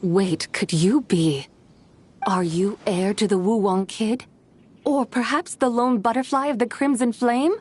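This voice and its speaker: A woman's voice: mid-range voice, unhurried, a little mellow, slightly rough. A woman playing a mysterious, enigmatic traveler in an anime game, speaking in a polite, formal manner. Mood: wondering.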